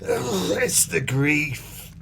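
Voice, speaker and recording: A crooked, grandiose swindler in an audio drama. His delivery is extremely slow and florid, with a heavy creak in the voice and a melodramatic manner. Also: on the high side, lively intonation, very noisy, full character voice. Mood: guarded. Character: crooked male swindler, mournfully grandiose and evasive. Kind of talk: audio play acting.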